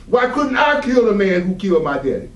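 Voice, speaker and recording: A man's voice: high voice, sing-song, brisk, fairly bright tone, highs cut off. A man playing an anguished, bitter father crippled by anger, in a dramatic stage reading recorded in a roomy space. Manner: dramatic, emotional delivery. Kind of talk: stage readers theatre acting. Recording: roomy hall, distant microphone.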